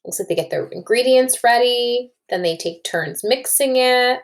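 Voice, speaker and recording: A woman's voice: on the high side, moderate pace. A woman teacher doing a children's read-aloud and story discussion, thinking aloud, recorded indoors at a computer.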